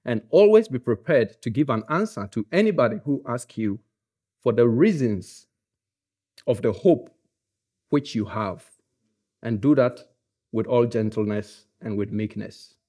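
A man with a Ghanaian English accent, preaching a sermon in a relaxed, joking manner with frequent fillers. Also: mid-range voice, a little slow, long pauses. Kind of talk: conversational sermon.

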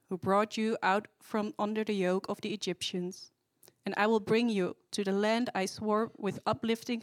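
A woman's voice: medium pitch, no echo. A woman reading a Bible passage aloud to a church, carefully and steadily, correcting small slips as she goes. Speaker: scripture reader.

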